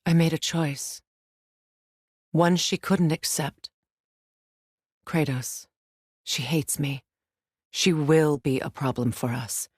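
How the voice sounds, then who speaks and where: A woman playing a proud, wounded warrior goddess and queen in a video game, measured, regal and dry. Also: sits low, slightly rough, no echo, heavily acted. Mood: serious, resolute. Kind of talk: dramatic video game voice acting.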